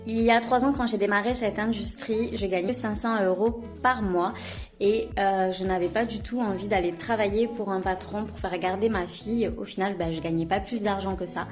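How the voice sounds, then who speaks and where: A woman's voice: medium pitch, steady tone, moderate pace, faint music behind. A woman in her thirties giving an enthusiastic testimonial, with a few hesitant fillers. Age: 30s. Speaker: network marketing member.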